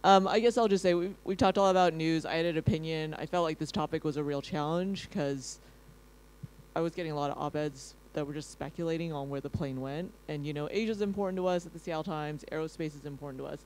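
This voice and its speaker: A man in the audience of a lecture hall asking the panel a question, quick and friendly. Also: on the high side, steady tone, slight background noise. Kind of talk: question from the floor.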